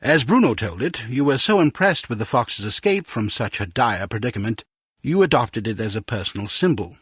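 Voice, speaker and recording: A man's voice: mid-range voice, sing-song, normal speed, a bit bright, husky, no echo, studio quality, heavily acted. An actor playing a grave, courteous male intelligence chief with a formal, commanding manner in a video game. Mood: grave, measured.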